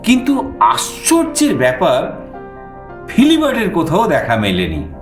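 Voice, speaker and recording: Animated male storyteller in an echoey space. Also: high-pitched, a little slow, faint music behind. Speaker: storyteller narrator. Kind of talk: dramatized first-person story narration.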